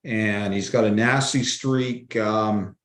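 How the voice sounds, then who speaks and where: A man's voice: fairly low pitch, steady tone, takes it slowly, fairly bright tone. Folksy man in a roomy room. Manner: folksy, uses old sayings. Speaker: guest writer. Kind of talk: casual podcast roundtable. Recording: roomy echo.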